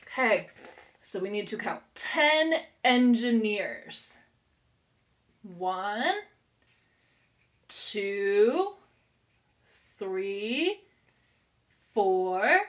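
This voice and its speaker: A woman's voice: fairly high pitch, bright, forceful tone. An American woman in her thirties, a preschool teacher reading aloud and counting for young children in a simple, encouraging, instructional way with careful enunciation.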